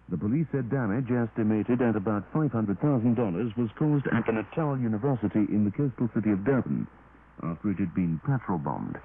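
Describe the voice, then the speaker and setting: Over a thin, lightly noisy 1980s shortwave signal, a man reads the radio news. His voice is fairly low, and his pitch moves widely as he delivers the formal script in a measured way. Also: phone-quality sound. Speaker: radio newsreader. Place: radio broadcast studio.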